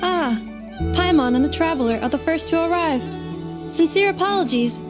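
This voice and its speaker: A young female robot from a video game, high-voiced and polite. She speaks formally and logically, with measured, clipped computer-like phrasing. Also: animated, over music. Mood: calm, apologetic.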